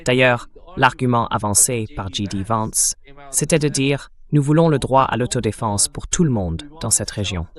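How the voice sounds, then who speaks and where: A man's voice: mid-range voice, expressive, normal speed. A synthetic male voice hosting an interview, setting out the news as a narrated summary. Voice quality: synthetic text-to-speech voice. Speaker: interview host.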